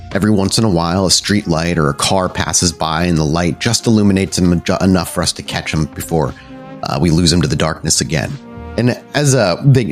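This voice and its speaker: A man hosting and narrating a tabletop role-playing podcast as storyteller, moving between casual chat and cinematic scene-setting. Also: deep voice. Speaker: storyteller and host.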